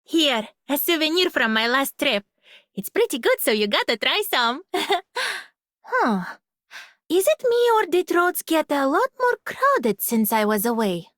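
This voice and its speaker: A breezy young woman from a game, cheeky and casual. Her voice sits high and swings playfully up and down. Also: very animated, a little slow, a bit bright, no echo, studio quality, exaggerated voice. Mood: breezy, teasing. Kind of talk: scripted video game character voice line.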